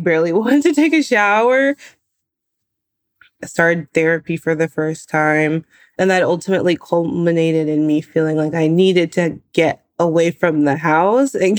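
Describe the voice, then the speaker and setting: A woman in her twenties from California, telling her own story in a lively, animated way. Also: fairly low pitch. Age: 20s. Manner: lively, animated retelling with laughs. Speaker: guest. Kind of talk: personal storytelling.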